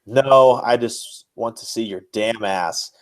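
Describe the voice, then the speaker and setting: A man's voice: medium pitch, slow pace, natural voice, poor audio. A man acts out an exasperated male wrestler from a fan fiction tale. He is stern and reluctant. His words come out curt and weary. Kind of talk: dramatic reading of fan fiction.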